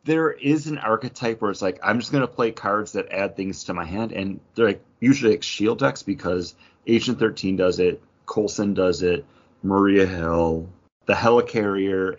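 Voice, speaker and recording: A man's voice: low-pitched, dull audio. A man co-hosting a casual gaming chat, explaining things in detail with plenty of slang. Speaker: podcast co-host.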